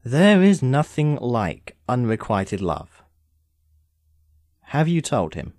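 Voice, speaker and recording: A man playing an immortal sorcerer in an audio drama, suave and teasing, with measured, formal phrasing. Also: medium pitch, big pitch swings, darkish tone, no room sound, studio quality. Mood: coaxing, teasing.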